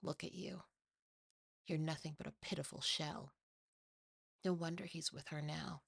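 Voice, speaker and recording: A woman voicing a sinister, possessive demon in a hushed, hissing, taunting way for a horror ASMR story. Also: deep voice, steady tone, creaky, slightly rough, dry recording, studio quality, heavily acted. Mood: cold mocking. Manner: slow, taunting, seductively cruel.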